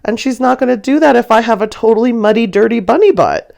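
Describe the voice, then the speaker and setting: A woman's voice: on the high side, fast talker, creaky, a little mellow, voice pushed up. A woman voicing a cute little baby bunny, silly and earnest, in a childlike storybook way. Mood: silly, worried.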